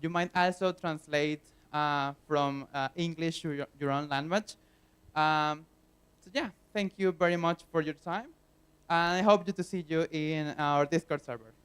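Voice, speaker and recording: A man's voice: on the high side, measured pace, fairly bright tone, smooth. Venezuelan man giving a conference talk. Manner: earnest, plain explaining with frequent fillers. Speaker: conference speaker. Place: conference hall.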